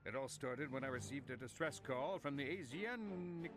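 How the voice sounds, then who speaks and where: A man's voice: mid-range voice, animated, moderate pace, fairly bright tone, natural voice. A man voicing a vain, bumbling video game superhero, boastful and grandiose. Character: pompous, vain, bumbling self-proclaimed male superhero. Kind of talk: video game cartoon acting. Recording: game music behind the voice.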